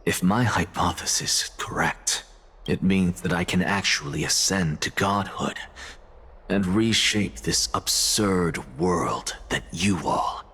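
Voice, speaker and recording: An actor playing an aloof, ambitious male scholar who talks in a calm, lecturing way. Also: sits low, slow pace, slightly rough, exaggerated voice. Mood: coolly defiant.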